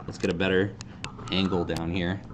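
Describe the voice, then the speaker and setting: A man in his thirties casually hosting a hands-on how-to demonstration in a deadpan, self-deprecating way. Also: low-pitched, moderate pace. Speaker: host of a fan group's how-to video. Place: indoor tabletop video shoot. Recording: clear background noise.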